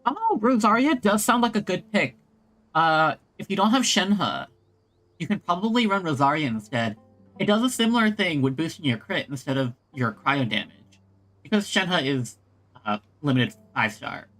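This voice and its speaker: High-pitched, lively male game streamer. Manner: halting, thinking aloud. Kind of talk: casual chat while playing a game. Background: soft game music.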